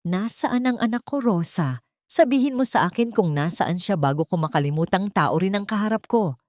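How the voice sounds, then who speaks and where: A woman's voice: mid-range voice, animated, normal speed, darkish tone, dry recording, studio quality, natural voice. Woman acting an intense, furious Filipino young mother. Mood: furious, anguished. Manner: intense, demanding. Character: anguished, furious young mother demanding answers.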